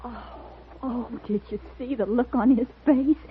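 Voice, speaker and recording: Actress as very high, coy frontier girl. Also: steady tone, a little slow, natural voice. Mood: gleeful, coy. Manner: coy, teasing. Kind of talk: 1950s radio western acting. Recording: tinny, hissy old radio recording.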